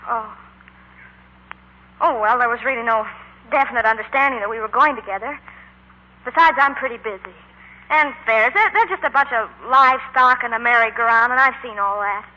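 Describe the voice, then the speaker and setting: A woman's voice: on the high side, moderate pace, pauses often, sharp tone. A young woman acting an earnest, proud teenage schoolgirl in a 1940s radio comedy-drama. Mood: hurt, restrained. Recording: dull, lo-fi 1940s radio recording.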